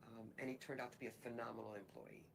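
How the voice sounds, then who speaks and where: Woman recruiter, low strong voice, reflective halting anecdote, faint ambient hiss. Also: little pitch movement, vocal fry. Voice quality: fairly strong voice. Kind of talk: personal anecdote in a training video.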